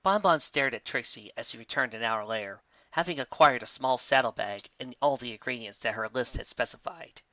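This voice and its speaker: A man's voice: medium pitch, brisk, sharp tone, dry recording, muffled recording. A man narrating a story expressively from a script.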